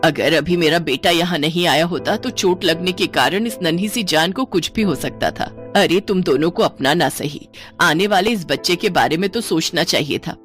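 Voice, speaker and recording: A woman's voice: lowish voice, normal speed, soft background music, lightly acted. A woman voicing a stern but caring mother-in-law in a cartoon family story, admonishing and reasoning. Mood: reproving, earnest. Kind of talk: animated Hindi family story dialogue.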